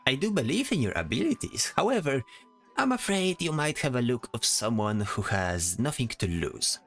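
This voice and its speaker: A man playing a chatty, fussy, worrying bard with an expressive way of talking in a fantasy audio drama. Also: mid-range voice, normal speed, soft background music. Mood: concerned. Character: chatty, complaining, worrying bard disguised in knight's armor.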